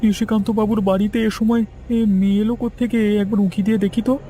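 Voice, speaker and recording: A man's voice: high-pitched, little pitch movement, fast talker, noisy background, close to an everyday voice. A man playing a nervous, honest villager muttering to himself in a horror cartoon. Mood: alarmed. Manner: talks to self, jittery. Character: nervous, honest villager, easily spooked eavesdropper. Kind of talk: cartoon horror drama acting.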